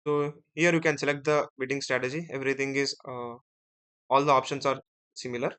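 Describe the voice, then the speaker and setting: A man teaching as an instructor in a screen-share software tutorial, explaining step by step and often checking in with short tag words. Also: mid-range voice, little pitch movement, brisk, smooth. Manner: step-by-step, often ending phrases with "right?" and "okay".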